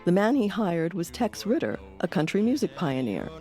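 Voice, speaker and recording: A woman's voice: lowish voice, very animated, normal speed, dark tone, soft background music. A woman hosting and narrating a documentary from a script.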